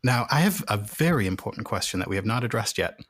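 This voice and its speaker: A man's voice: lowish voice, lively intonation, fast talker, a bit bright, no room sound. A man playing a tabletop game, asking questions in a dry, wry way. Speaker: player.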